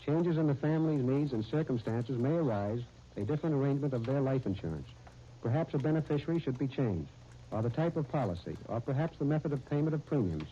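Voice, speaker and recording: Middle-aged American male agent; formal read delivery, very mellow tone, muffled vintage radio sound. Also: mid-range voice, animated, fast. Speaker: insurance agent guest. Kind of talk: scripted 1930s radio interview. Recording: muffled, faintly noisy 1930s radio.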